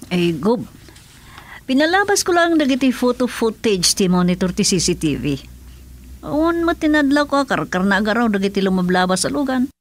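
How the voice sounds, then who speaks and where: An obedient aide in a radio drama, high-pitched and lively in intonation. She speaks with a subordinate's deference. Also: normal speed. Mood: reporting.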